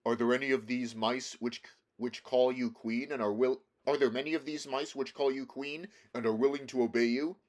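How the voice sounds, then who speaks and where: A man voicing a confident, helpful scarecrow from a storybook, brisk and emphatic. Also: medium pitch, fairly even intonation, close to an everyday voice. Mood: brisk, directing. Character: male scarecrow, quick, confident, helpful planner. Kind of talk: storybook character reading.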